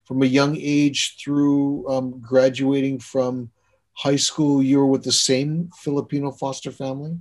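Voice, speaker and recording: A man interviewing a guest, speaking slowly and encouragingly, asking gentle questions. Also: medium pitch, flat delivery. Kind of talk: oral history interview.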